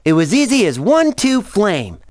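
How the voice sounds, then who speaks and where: A plucky young dragon hero from a cartoonish video game. The voice is high and lively, with a casual, cheeky swagger. It sounds close and dry. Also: male voice, a little slow, dry recording, exaggerated voice. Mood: cocky.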